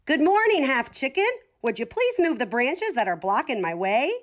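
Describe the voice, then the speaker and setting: Lady doing a polite pleading nature spirit from a kids tale, higher voice, lilting. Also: sing-song, normal speed. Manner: courteous, entreating.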